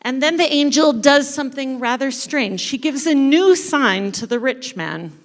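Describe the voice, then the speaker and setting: A woman giving a playful, dramatic live narration of a story, pausing deliberately as she leads an interactive reading. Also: high-pitched, expressive. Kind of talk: theatrical live scripted narration of a parable. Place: large indoor church space before an audience. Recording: echoey hall with long reverb.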